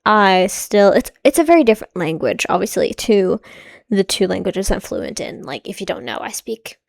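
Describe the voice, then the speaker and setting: A young adult woman whose native language is Swedish, hosting a reaction video in casual, musing commentary that often trails off quietly. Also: mid-range voice, sing-song, moderate pace, vocal fry. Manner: musing, hedging, soft-spoken with frequent quiet trailing asides.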